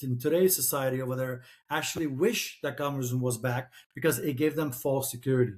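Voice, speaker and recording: A middle-aged man originally from Czechoslovakia, whose first language is Czech, answering interview questions. His voice sits at an ordinary middle pitch. He stumbles and restarts often, padding his sentences with fillers. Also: fairly quick, a little mellow. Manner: hesitant, many restarts and fillers. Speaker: author and guest. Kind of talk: conversational interview.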